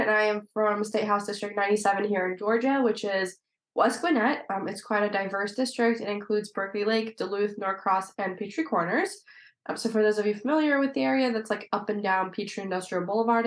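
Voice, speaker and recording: Female guest, legislator, conversational and explanatory delivery, medium pitch, echoey roomy recording. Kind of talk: conversational interview answers.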